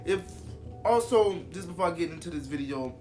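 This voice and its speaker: A man's voice: on the high side, lively intonation, brisk, dark tone. Young male vlogger, congested from a cold, loose rambling delivery, light background music. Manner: rambling, repeats himself, many fillers. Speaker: YouTuber. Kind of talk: casual vlog monologue.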